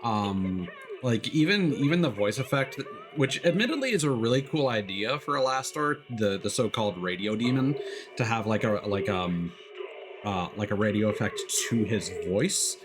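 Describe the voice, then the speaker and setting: A man's voice: lowish voice, animated, normal speed, over music. A man streamer chatting casually and rambling with many restarts while a game loads.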